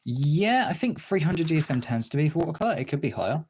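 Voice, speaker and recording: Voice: mid-range voice, sing-song, quick pace, a little mellow, slight background noise. Someone giving a casual hands-on art tutorial, rambling and thinking aloud while working.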